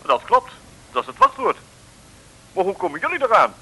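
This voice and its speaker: An actor playing an irritable, blustering colonel who scolds and exclaims in an old radio serial. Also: male voice, high voice, huge pitch swings, moderate pace, sharp tone, slightly rough, full character voice, low-quality recording. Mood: flustered. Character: blustering, irritable male colonel and spy chief.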